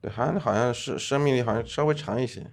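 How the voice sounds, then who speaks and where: A middle-aged man speaking as a podcast guest in casual chat, measured and self-deprecating, often laughing between thoughts. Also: medium pitch, vocal fry. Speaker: guest, former literary editor.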